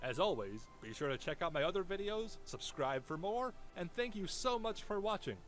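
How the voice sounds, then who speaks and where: A man narrates from a script in an earnest, dramatic documentary style. His voice sits fairly high and moves in a very animated way, with clear music playing underneath. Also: moderate pace. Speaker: narrator of an online video.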